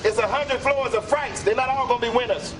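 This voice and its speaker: A very high-pitched haunted-elevator operator, defensive and unapologetic in a comedy sketch. The recording has clear noise behind the voice. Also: male voice, a little fast. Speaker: ride operator. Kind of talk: live sketch comedy acting. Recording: noisy live TV sketch audio.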